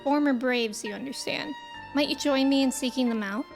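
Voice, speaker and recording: A woman's voice: high-pitched, wildly swooping pitch, normal speed, soft background music. A woman voicing an earnest, courteous young nobleman in a fantasy game, with formal, archaic phrasing.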